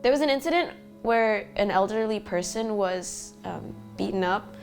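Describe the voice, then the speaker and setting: A woman's voice: medium pitch, lively intonation, moderate pace, soft background music. Matter-of-fact young woman. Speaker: documentary interviewee. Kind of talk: personal account.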